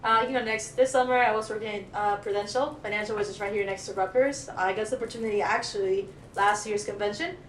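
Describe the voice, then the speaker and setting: Young woman from El Salvador, quick and chatty, echoey room sound. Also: medium pitch. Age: young adult. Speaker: student podcast guest. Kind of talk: casual podcast chat. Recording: echoey room, faint noise.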